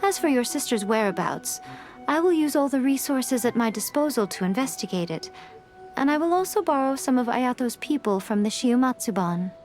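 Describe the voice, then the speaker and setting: A woman's voice: a little high, expressive, moderate pace, darkish tone, soft background music, close to an everyday voice. A woman voicing a sly, playful fox-spirit woman in a game, with a poised, teasing way of speaking. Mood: calm. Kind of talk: game dialogue.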